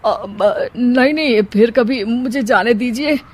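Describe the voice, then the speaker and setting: Cartoon politician, played by a woman: a man of authority, measured and slow, higher-pitched voice. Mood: flustered. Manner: authoritative, admonishing. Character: stern, influential politician man, secretly a disguised daughter-in-law. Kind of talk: cartoon moral-story acting.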